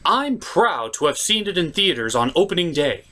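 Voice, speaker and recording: Theatrical man with lively, swooping delivery. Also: on the high side, moderate pace. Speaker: host of a review show. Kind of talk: scripted review commentary with puppet-show skits.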